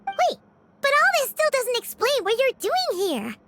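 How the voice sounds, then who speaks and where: A woman voicing a tiny, childlike fairy companion in a video game, squeaky, blunt and inquisitive. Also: unhurried, very noisy, heavily acted. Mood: puzzled, inquisitive. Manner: blunt, questioning, expressive. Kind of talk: video game character dialogue.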